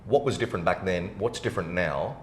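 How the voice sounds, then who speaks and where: A man's voice: low voice, quick pace, roomy sound, a little hiss or hum. A man hosting a casual podcast interview, putting questions to his guest. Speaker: interview host.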